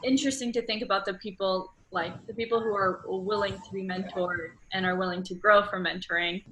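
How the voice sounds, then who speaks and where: A woman with a bright, forward voice conducts a casual interview. She phrases her questions tentatively and affirms answers with enthusiasm, over faint background noise. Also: medium pitch, fairly even intonation, brisk, low-quality recording.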